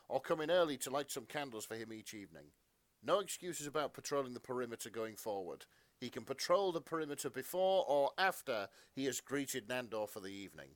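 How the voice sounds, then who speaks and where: A man's voice: medium pitch, expressive, fairly quick, no echo. A man narrating fan fiction as a podcast co-host, in a melodramatic storytelling style.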